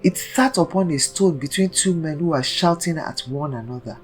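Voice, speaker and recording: A woman storyteller narrating a scripted folktale in a dramatic, deliberate manner. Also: deep voice, soft background music.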